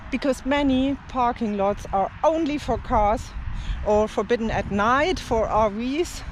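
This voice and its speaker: Slow, rambling speaker. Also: mid-range voice, background noise. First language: German. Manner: unhurried and rambling, with many fillers and light laughs. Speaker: language teacher and travel vlogger. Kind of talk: casual talk to camera. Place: outdoors in an open field.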